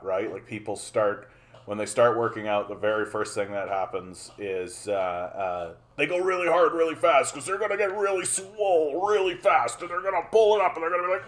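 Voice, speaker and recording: 40yo canadian guy chatting on his stream, rambly, lots of uhs, says hi to chat a lot. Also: medium pitch. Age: 40s. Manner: rambling, repeats phrases, many fillers; greets viewers by name. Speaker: livestream host. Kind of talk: casual livestream chat.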